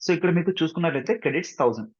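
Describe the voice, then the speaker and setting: A man with a Telugu Indian accent giving an informal screen-share software tutorial, mixing in English technical terms and often checking understanding with short tag questions. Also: on the high side, animated, racing, slightly rough. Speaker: software instructor. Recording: dull, muffled lo-fi sound.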